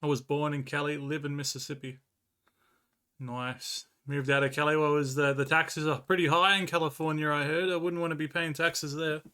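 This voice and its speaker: Bright-voiced Australian man chatting. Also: fairly high pitch, steady tone, normal speed, clear, resonant tone. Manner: rambling, reads and answers chat, swears freely. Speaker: live streamer. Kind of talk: casual chat with viewers.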